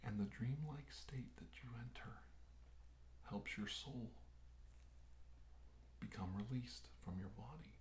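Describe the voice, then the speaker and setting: A man playing a calm, reassuring doctor in a soft-spoken, often whispered ASMR medical roleplay, talking slowly and gently. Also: mid-range voice, roomy sound, a little hiss or hum, natural voice. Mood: hushed, explaining. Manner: soothing, hushed, slow and gentle, often whispering. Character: calm, reassuring male doctor treating an alien-abduction patient.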